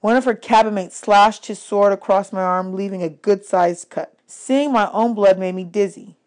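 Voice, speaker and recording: Female audiobook narrator, medium pitch, expressive read-aloud of children's adventure fiction. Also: moderate pace.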